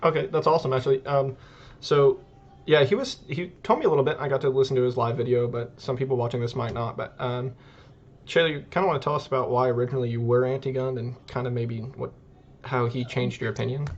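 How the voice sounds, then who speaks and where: A man from Northern California talks fast with a gravelly edge, rambling and correcting himself mid-sentence as he co-hosts a casual podcast. The recording sounds dull and lo-fi. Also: mid-range voice, steady tone. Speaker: podcast co-host.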